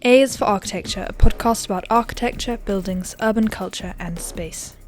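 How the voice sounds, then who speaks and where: A woman's voice: mid-range voice, brisk. Female podcast announcer over music. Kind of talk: scripted podcast tagline read.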